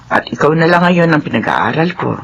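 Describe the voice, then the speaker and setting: A woman's voice: low voice, sing-song, a little fast, fairly bright tone, exaggerated voice. A woman acting as a devoted, long-suffering middle-aged widowed nanny and mother in a Filipino radio drama. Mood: earnest, resigned. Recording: dull, noisy radio drama recording.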